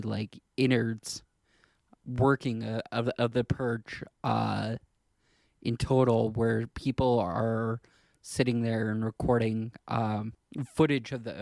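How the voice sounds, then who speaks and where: A man co-hosting a podcast in casual discussion, speaking hesitantly in halting, trailing clauses. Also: mid-range voice, creaky, no room sound. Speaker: podcast co-host.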